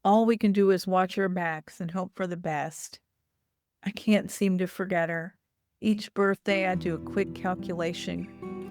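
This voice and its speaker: A woman reading a first-person literary short story aloud in a measured, deliberate way. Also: fairly low pitch.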